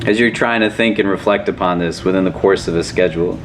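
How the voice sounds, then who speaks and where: A man's voice: low voice, fast talker, background noise. A man, an imam leading a casual religious class, asking questions and often checking in with "do you know?". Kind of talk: conversational religious lesson.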